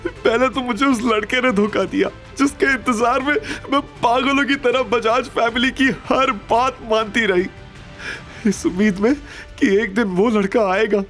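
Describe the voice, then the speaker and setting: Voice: mid-range voice, fairly even intonation, unhurried, a bit bright, faint music behind, natural voice. An actor playing a hurt, earnest young woman in an audio drama, emotional and reproachful in manner. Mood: tearful. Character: distressed, hurt young woman, bitter yet sincere. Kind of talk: Hindi audio drama acting.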